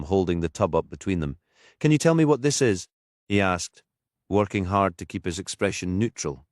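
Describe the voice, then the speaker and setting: A man narrates a crime mystery audiobook from a script. His voice sits low, and the recording is very dry with no room sound. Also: no room sound.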